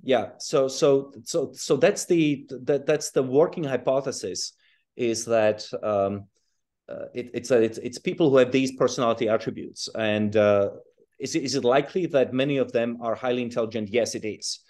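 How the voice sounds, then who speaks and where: A man from Czechoslovakia and South Africa, a guest author, explaining his ideas in a relaxed interview over a video call, often restarting phrases. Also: medium pitch, moderate pace. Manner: thoughtful, restarts phrases, chuckles while explaining.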